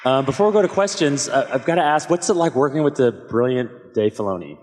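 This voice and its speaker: A man's voice: medium pitch, expressive, fairly quick, a little mellow. A man moderating a convention panel, prompting the guests with upbeat questions. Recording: echoey hall, faint noise, from a distance.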